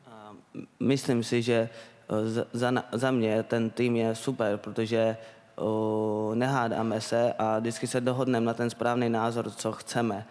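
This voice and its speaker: A young man from the Czech Republic giving halting, hesitant panel remarks into a handheld microphone on a conference stage. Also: mid-range voice, flat delivery, darkish tone. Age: young adult. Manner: hesitant, halting, repeats words. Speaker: self-advocate and panel speaker. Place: on stage in a large conference hall. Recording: reverberant conference hall sound.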